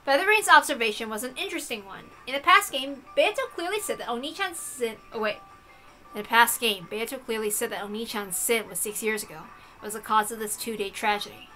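A woman's voice: a little high, expressive, brisk, pushed and bright, soft background music, low-quality recording. A woman narrating a visual novel in a dramatic story-reading style.

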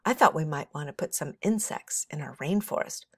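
Woman reading as an earnest, bright storybook schoolgirl. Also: low-pitched, expressive, normal speed, breathy edge, no room sound, clean studio sound, full character voice. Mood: proud.

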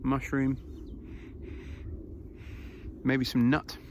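A man's voice: medium pitch, completely flat delivery, very slow, noisy background. A man in his thirties hosting a foraging vlog outdoors on a grassy hill, explaining things casually with fillers as he films himself on a phone held close. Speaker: foraging channel host. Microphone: phone camera held close.

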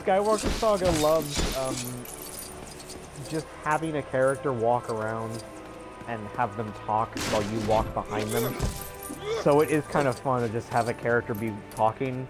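A young man chatting casually as he streams a game, rambling and halting in an amused way. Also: mid-range voice, fairly even intonation. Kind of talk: casual live gameplay chat. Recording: loud game music and sounds behind. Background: video game music and effects.

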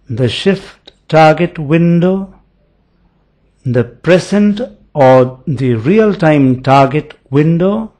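A man giving a voice-over for a product demonstration, explaining it step by step in a measured way. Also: a little high, darkish tone. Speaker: product demo narrator.

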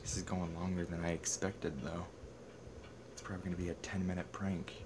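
A young man talking casually and a bit haltingly on a homemade prank-call video shot indoors on a handheld phone. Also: low-pitched, flat delivery, noisy background. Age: young adult. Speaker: prank caller and video maker. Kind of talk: casual phone prank talk with muttered asides. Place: small bedroom, indoors. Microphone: phone at arm's length.

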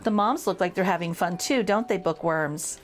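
A woman reads a picture book aloud for children in an expressive, playful way, addressing the young listeners directly. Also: on the low side, moderate pace.

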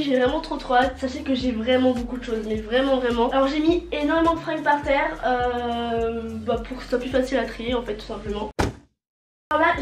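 A young woman with a cold and a nasal voice, chatting casually in a fashion vlog and repeating words for emphasis, filmed by a fixed camera in her bedroom. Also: high-pitched. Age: young adult. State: congested from a cold. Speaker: vlogger. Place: bedroom with a closet, indoors. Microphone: fixed camera at table distance. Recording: roomy indoor sound with faint music.

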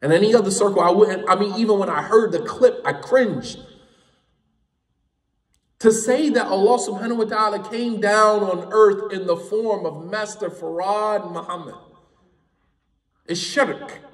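A man's voice: sits high, a little slow, long pauses, echoey room, long reverb tail, far from the mic, poor audio. A man whose first language is English, teaching an Islamic studies class in an emphatic, impassioned way and repeating points for stress. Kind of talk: classroom lecture.

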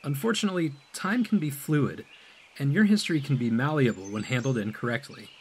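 Cryptic actor as mentor, expressive intonation. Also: male voice, mid-range voice, fairly quick, a little hiss or hum, natural voice. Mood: cryptic, measured. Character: cryptic, calm male mentor guiding an android. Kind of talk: audio drama acting.

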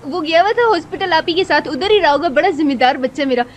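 A woman voicing a sharp-tongued wife who scolds and teases her husband in a fan game dub. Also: high voice, quick pace, slight background noise, natural voice. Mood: scolding. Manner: scolding, teasing.

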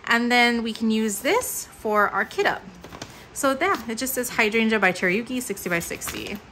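Young woman hosting a craft unboxing, chatty and upbeat, bright tone, faint background hiss. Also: a little high, expressive, normal speed. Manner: chatty, upbeat, many fillers. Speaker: crafting channel host. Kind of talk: casual unboxing commentary. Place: indoors at a table. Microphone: overhead camera mic, hands-only framing.

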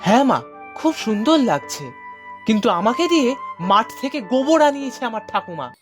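Excitable young grandson in a cartoon; high, lively, childlike. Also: female voice, fairly quick, over music. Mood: excited. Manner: eager, childlike, exclaiming. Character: cheeky young boy grandson. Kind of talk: Bengali cartoon voice acting.

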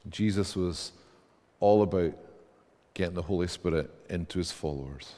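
A man's voice: sits low, moderate pace, warm tone. A man in his thirties with a Scottish accent, preaching a sermon in a large church and reading scripture aloud with rising emphasis. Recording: church hall reverb.

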